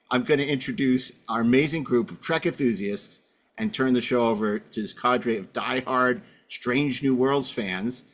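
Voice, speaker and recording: A man's voice: mid-range voice, fairly bright tone. A middle-aged man with a mid-range voice hosts a casual podcast. He wanders through his introductions with wry asides and pokes fun at himself as he goes. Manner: rambling, wry, self-deprecating. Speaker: podcast host.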